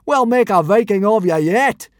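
A man's voice: high-pitched, expressive, moderate pace, no echo, studio quality, pitched above the performer's own voice. A man playing a huge, hairy, blustering Viking teacher in a storybook, roaring and bellowing commands. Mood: hearty approval.

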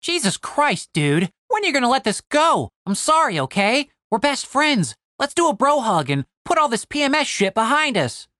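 A sarcastic little halfling man, played by a woman, pitched high and swinging all over. He is crude, whiny and exasperated, always ready to argue in a comic fantasy audiobook, in a very dry recording. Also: very animated, normal speed, few pauses, a bit bright, no room sound. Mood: defensive, mocking. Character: sarcastic exasperated wisecracking male halfling adventurer.

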